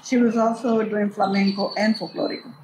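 A woman's voice: mid-range voice, little pitch movement, brisk, a little mellow. Older woman reminiscing in a noisy, echoey space. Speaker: storyteller guest, grandmother. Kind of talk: casual reminiscing. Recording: echoey space with background noise.

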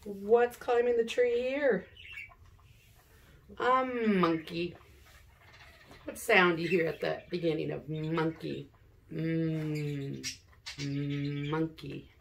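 Middle aged lady teacher doing a reading lesson for little kids, super slow n careful, creaky voice. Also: low-pitched, fairly even intonation, slight background noise. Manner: slow, deliberate, sounding out words and asking questions. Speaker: elementary school teacher. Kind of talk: read-aloud reading lesson for young children. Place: living room at home, seated in an armchair.

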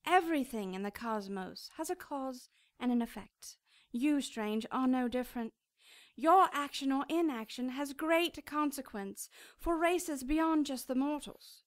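A woman's voice: high-pitched, a little slow, no echo, studio quality. A woman playing a stern cherub in a radio drama, speaking with formal, pompous command. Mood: imperious. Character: stern, imperious cherub, heavenly messenger.

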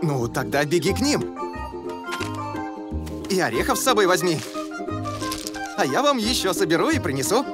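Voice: mid-range voice, very animated, normal speed, long pauses, lightly acted. A performer playing a kind, friendly young male jungle animal in a children's cartoon. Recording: music behind the voice.

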